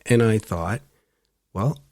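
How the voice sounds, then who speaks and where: A man giving a reflective personal monologue as a podcast host, phrasing his thoughts deliberately. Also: on the low side, darkish tone, no room sound, studio quality.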